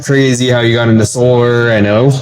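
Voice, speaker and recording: A man's voice: mid-range voice, fairly quick, crowd noise, low-quality recording. A man hosting a podcast from a car's back seat at a convention, chatting casually with lots of fillers. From California. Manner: relaxed, filler-heavy, upbeat. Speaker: podcast host. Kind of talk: casual interview chat.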